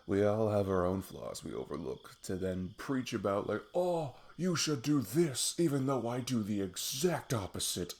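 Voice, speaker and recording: A man playing an affectionate, goofy best friend on a date in an intimate ASMR roleplay, rambling playfully in a husky, gravelly voice. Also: on the low side, very animated, normal speed, hardly any pauses, darkish tone. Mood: playful, casual. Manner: rambling, playful, self-deprecating chuckles. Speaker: audio roleplay performer. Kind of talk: intimate ASMR roleplay, improvised casual chat.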